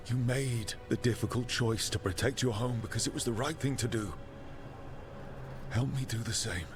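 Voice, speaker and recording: An actor playing an earnest, determined heroic leader in a fantasy video game, sincere and resolute. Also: male voice, medium pitch, normal speed, music in the background, close to an everyday voice. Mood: persuasive.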